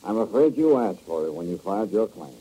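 An actor playing a wisecracking, tough insurance investigator who talks in a dry, sarcastic, clipped way in a 1950s radio drama. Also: male voice, on the low side, big pitch swings, a little fast, warm tone, slightly rough, low-quality recording. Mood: sardonic.

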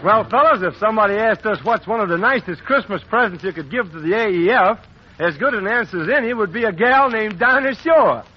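A man's voice: high-pitched, expressive, a little fast. A man introducing a singer on a 1940s radio variety show in a folksy, friendly way. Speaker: host. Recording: dull 1940s radio broadcast.

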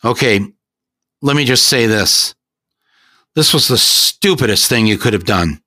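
A man's voice: mid-range voice, animated, unhurried, sharp tone, no room sound, studio quality, pitched above the performer's own voice. Man playing a stern scolding doctor. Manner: scolding, emphatic. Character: stern male hospital doctor, lecturing.

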